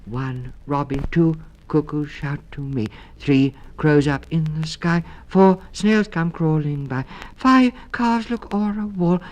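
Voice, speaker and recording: Excitable young storybook mice, high-pitched, eager, lilting , performed by a man. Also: lightly acted, poor audio. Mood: cheerful singsong. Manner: singsong, eager. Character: excitable little mouse children, counting eagerly. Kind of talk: 1960s children's storybook record.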